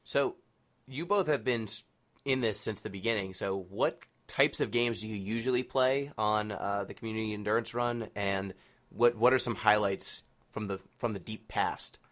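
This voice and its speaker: Lowish-voiced man, playful podcast host. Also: normal speed, fairly bright tone. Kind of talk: casual chat.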